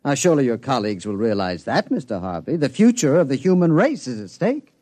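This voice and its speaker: Earnest, resourceful scientist, dry sound. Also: male voice, mid-range voice, very animated, a little fast, dark tone, dry recording. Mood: urgent, earnest. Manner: polite, articulate, earnest. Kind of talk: old-time American radio drama acting.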